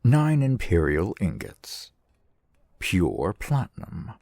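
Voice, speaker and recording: A man's voice: low-pitched, wildly swooping pitch, slow pace, creaky, a little mellow, no room sound, studio quality, heavily acted. A man playing a courteous, shrewd fantasy shopkeeper in an ASMR roleplay, with formal, eloquent merchant patter. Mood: gracious, measured. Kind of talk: ASMR fantasy roleplay, softly acted one-sided dialogue.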